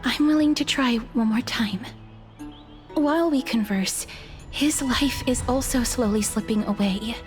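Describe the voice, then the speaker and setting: An actress playing a gentle but determined young woman who speaks in an earnest, measured way. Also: a little high, soft background music, close to an everyday voice. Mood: resolute. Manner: soft-spoken, earnest and measured. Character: gentle, resolute young woman on a perilous errand.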